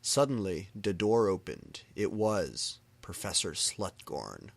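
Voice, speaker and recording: A man's voice: sits low, very animated, slow, vocal fry, no echo. A man reading fan fiction aloud in a dramatic, comedic style, pronouncing misspellings and stray symbols literally. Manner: reads misspellings and punctuation literally.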